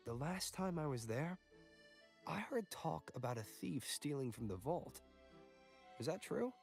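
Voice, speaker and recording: A man's voice: on the high side, big pitch swings, a little slow, vocal fry, pitched above the performer's own voice, heavily acted. A man voicing a swaggering young male adventurer in a put-on accent, drawing his words out theatrically. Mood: probing. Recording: game music underneath.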